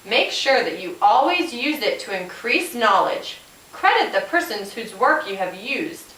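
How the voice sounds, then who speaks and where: Lady doing a helpful cop puppet giving a lesson, highish bouncy voice, echoey and a bit far off. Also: fairly bright tone. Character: helpful police officer puppet, guest classroom speaker. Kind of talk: scripted educational puppet show. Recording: roomy, distant microphone.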